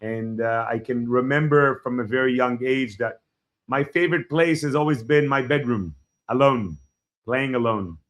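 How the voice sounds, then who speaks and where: A man in his forties from Israel, a Hebrew speaker, telling his life story as a podcast guest in a reflective, halting way. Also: medium pitch, a bit bright. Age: 40s. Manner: reflective, halting starts with frequent fillers. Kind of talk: personal storytelling in an interview.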